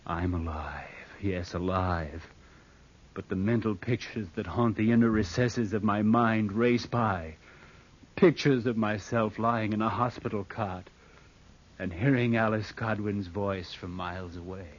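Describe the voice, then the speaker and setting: Scheming bitter heir, low voice, brooding confessional narration, falsely sweet, dull vintage radio recording. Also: male voice, unhurried. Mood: haunted, obsessive. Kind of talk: old-time radio drama acting, confessional narration.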